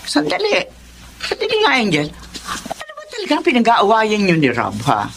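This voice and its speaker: A woman's voice: fairly high pitch, wildly swooping pitch, moderate pace, creaky, background noise, heavily acted. An actress with a Filipino accent playing a protective middle-aged mother who scolds and probes indignantly in a radio drama. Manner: indignant, probing, emphatic.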